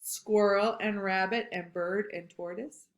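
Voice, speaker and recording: A woman reading a picture book aloud to children, slow and expressive, stopping to ask questions. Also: mid-range voice, background noise. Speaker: read-aloud storyteller. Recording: roomy echo.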